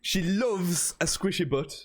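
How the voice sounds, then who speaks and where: A man's voice: sits high, measured pace. A man hosting a gaming podcast, jokey and teasing, laughing often. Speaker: podcast host. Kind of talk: casual chat.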